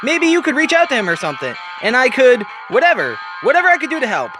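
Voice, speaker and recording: Guy doing an anxious lowlife dad, super high voice, rambly and hesitant, comic book drama with music. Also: wildly swooping pitch, quick pace, full character voice. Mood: nervous pleading. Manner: hesitant, rambling, eager to please. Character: nervous, rambling man, small-time crook and anxious father.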